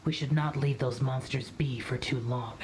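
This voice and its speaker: A man voicing a stoic, brooding ancient warrior who looks young in a video game, speaking in a solemn, formal, breathy manner. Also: mid-range voice, fairly even intonation, moderate pace, background noise, natural voice.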